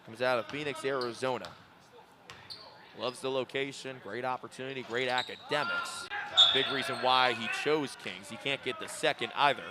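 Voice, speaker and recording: A man's voice: mid-range voice, normal speed. A man giving live basketball play-by-play as the announcer. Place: indoor basketball gym. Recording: noisy gym, bouncing balls. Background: loud game noise, ball bounces.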